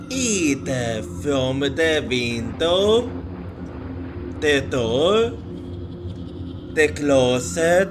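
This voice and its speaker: A man telling ghost stories in a horror ASMR roleplay, speaking haltingly and hesitantly with long pauses. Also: mid-range voice. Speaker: ASMR storyteller. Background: music.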